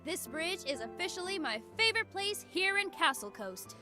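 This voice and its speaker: Feisty game schoolgirl, high voice, lively swings, cheeky, expressive, music behind. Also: normal speed. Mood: tender, happy. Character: feisty, defiant country schoolgirl, sweet with her friend. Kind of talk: scripted visual novel voice acting. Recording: background music.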